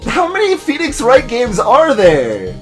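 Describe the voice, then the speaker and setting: A man's voice: extremely high pitch, huge pitch swings, a little slow, faint music behind. A man giving casual let's play commentary, rambling and repeating himself in exasperation.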